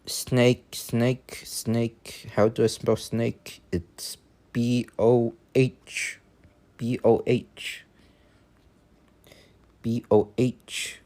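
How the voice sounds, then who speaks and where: A man's voice: medium pitch, little pitch movement, drawn-out words, long pauses, a little mellow. A man with a Vietnamese accent, whose first language is Vietnamese, chatting casually on a live stream, thinking aloud with many fillers and restarts. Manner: thinking aloud while working.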